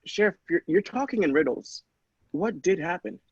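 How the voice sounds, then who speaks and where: A man's voice: high-pitched, lively intonation, normal speed, a little mellow, breathy edge, no room sound, voice pushed up, full character voice. Muffled, earnest young man, acted in radio drama. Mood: incredulous. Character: polite, earnest young male scientist's assistant. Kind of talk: radio play performance.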